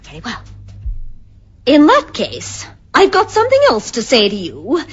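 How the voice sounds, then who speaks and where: An actress playing a haughty, self-absorbed middle-aged wife in a radio drama, condescending and theatrical. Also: female voice, fairly high pitch, huge pitch swings, a little slow, breathy edge, heavily acted. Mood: haughty, resolved. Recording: dull lo-fi radio recording.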